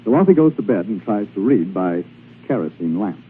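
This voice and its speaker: A man's voice: fairly low pitch, very animated, brisk, phone-quality sound, slight background noise. An American man working as a radio announcer in the scripted style of the 1940s, on an old broadcast recording.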